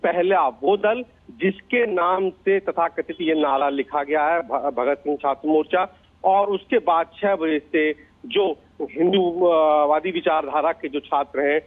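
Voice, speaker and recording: A male reporter delivers a live field report in a fairly high-pitched voice. The sound is thin and narrow, like a phone line into a news studio. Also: measured pace.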